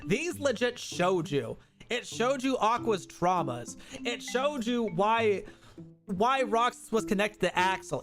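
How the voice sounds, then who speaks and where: A man with a high-pitched, very lively voice hosts a casual gaming stream. He talks in a chatty, rambling way, often correcting himself as he goes. Music plays clearly behind his voice. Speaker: livestream host. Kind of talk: casual gaming commentary, reviewing and ranking games. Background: background music.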